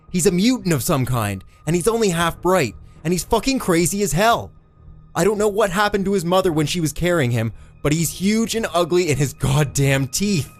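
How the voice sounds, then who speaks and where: A man's voice: medium pitch, lightly acted. A man voicing an injured, trapped mechanic in an audiobook, desperate, foul-mouthed and strained. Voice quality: croaking, strained. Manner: shouting, pleading, exasperated. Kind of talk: dramatised audiobook character.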